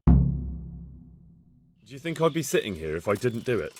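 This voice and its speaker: A man's voice: medium pitch, big pitch swings, moderate pace, over loud music, natural voice. A man playing an earnest, stubborn young adventurer in a medieval video game cutscene. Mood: defensive, firm.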